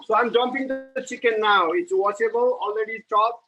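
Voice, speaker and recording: A man from Nepal with a Nepali accent, giving a live cooking demonstration and narrating each step in halting, plain English. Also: high-pitched, bright, forceful tone, dull audio, soft background music. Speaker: home cook giving a cooking demo.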